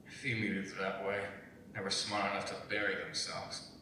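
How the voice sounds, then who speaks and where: A man's voice: on the low side, completely flat delivery, normal speed, sharp tone. Grim KGB commander, forties, played by a male actor: commanding, clipped, recorded from far off in a roomy space. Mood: disgusted. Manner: curt, commanding. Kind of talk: dramatic script reading. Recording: roomy, distant mic with faint noise.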